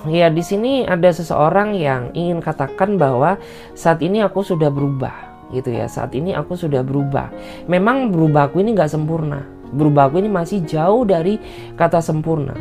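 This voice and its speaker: A woman tarot reader with an Indonesian accent, chatting casually and speaking the feelings of the other person in the first person. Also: sits low. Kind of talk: casual tarot reading monologue. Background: soft guitar music.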